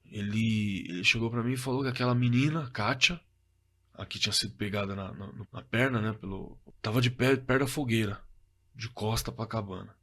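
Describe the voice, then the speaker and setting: A man playing a shaken witness in an audio drama, recounting a frightening encounter in a halting, stammering, conversational way. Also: on the low side, fairly bright tone. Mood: shaken, haunted. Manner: halting, stammering, repeating himself.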